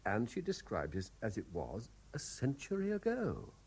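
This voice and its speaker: A man's voice: medium pitch, wildly swooping pitch, moderate pace, darkish tone, breathy edge, heavily acted, rough recording. An actor with a British accent playing an earnest, troubled man in love, hesitant and probing, in a 1970s radio drama. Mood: puzzled. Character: earnest, lovestruck, troubled Frenchman, inquisitive and hesitant.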